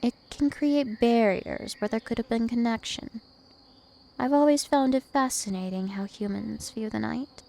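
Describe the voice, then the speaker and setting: Slow, seductive vampire princess, acted by a woman. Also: a little high, gravelly, a little mellow. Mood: calm, probing. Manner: slow, deliberate, teasing and possessive. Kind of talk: ASMR roleplay.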